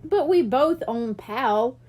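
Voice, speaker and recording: A woman voices an eager young boy planner in a children's audiobook. He sounds high and lively, sensible and assertive as he explains. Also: takes it slowly, heavily acted.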